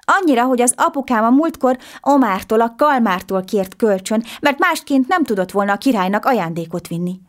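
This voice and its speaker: A woman's voice: animated, natural voice. A woman voicing an earnest little boy. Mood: pleading. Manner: high, pleading, quick questions.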